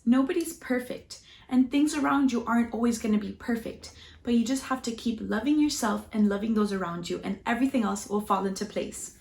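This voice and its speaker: A woman's voice: fairly high pitch, darkish tone, roomy sound, a little hiss or hum. A woman hosting a children's storybook read-aloud, speaking expressively and directly to her viewers.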